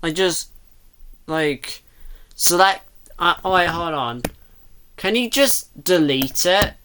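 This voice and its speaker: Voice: mid-range voice, expressive, slow pace, fairly bright tone, clean tone. Someone giving casual reaction commentary on a video, rambling and second-guessing as they think aloud. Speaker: reactor commenting on a fan comic dub.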